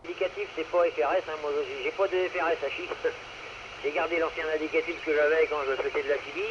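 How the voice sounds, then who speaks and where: A man's voice: a little high, fairly even intonation, fast. A man chatting casually as an amateur radio operator, taking turns on air. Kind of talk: casual radio contact chat. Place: radio transmission with noise behind. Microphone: two-way radio microphone. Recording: muffled two-way radio, clear static noise.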